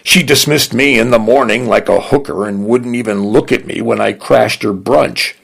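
Man doing a knowing roguish guy, gravelly, husky, slow and wry. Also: mid-range voice, fairly bright tone, pitched above the performer's own voice, exaggerated voice. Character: wry roguish man, fond and world-weary.

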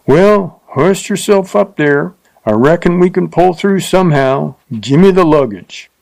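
A man's voice: a little high, animated, a little slow, creaky, darkish tone, no echo, voice pushed up. Man putting on a leering drunk frontier rider. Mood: grumbling. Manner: coarse rustic drawl, jeering.

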